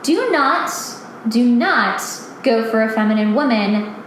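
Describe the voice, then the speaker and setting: A woman's voice: a little high, expressive, measured pace, slight background noise. Woman host in a very echoey room. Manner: emphatic, opinionated, lots of "like". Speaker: podcast host. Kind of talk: casual chat.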